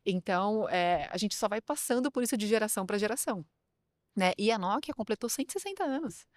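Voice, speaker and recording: A woman speaking as an HR director guest on a podcast, in a lively, chatty way and quick to agree. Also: medium pitch, no echo, studio quality. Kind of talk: casual interview conversation.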